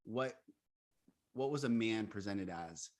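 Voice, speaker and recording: A man's voice: on the low side, takes it slowly. Upbeat man co-hosting a live chat. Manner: upbeat, animated, laughs easily. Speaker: co-host and coach. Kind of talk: casual live-stream conversation.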